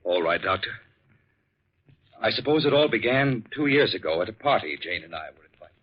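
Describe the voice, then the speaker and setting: A man playing a high-strung young fiancé with a husky voice in a 1940s radio melodrama. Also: lively intonation, fairly quick. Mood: resigned. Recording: muffled old radio, music behind.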